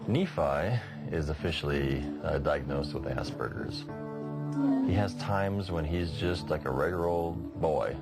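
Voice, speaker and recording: A middle-aged father talks in a documentary interview. His voice is very low, and loud music plays behind him. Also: measured pace, vocal fry.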